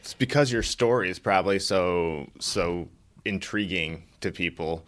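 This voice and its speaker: A man with a fairly low voice, hosting a casual podcast interview. He speaks in an easygoing, affirming way, adding his own thoughts between questions. The recording is dry and close. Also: measured pace, dry recording. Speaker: podcast host.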